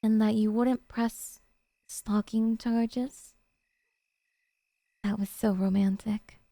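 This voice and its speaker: Woman acting a cutesy, high-voiced obsessive yandere girlfriend. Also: steady tone, slow pace, dark tone, dry recording, clean studio sound. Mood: clingy adoring. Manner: cutesy, coy, hesitant asides to a sweetheart. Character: obsessive, sweetly unhinged young yandere girlfriend, needy and possessive. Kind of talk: intimate ASMR roleplay monologue.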